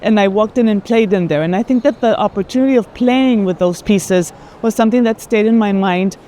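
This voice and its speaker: A woman with a Colombian accent, speaking thoughtfully in conversation on an art fair tour, often pausing and repeating words. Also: mid-range voice, warm tone. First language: Spanish. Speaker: art advisor. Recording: faint urban ambient noise.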